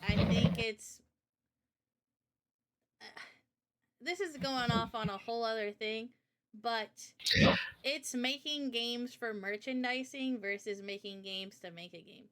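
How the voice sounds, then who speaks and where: A woman's voice: a little high, slow pace, pauses often, a bit bright. A woman in a casual interview chat about games, speaking informally and with opinions as the host. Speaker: host and game developer.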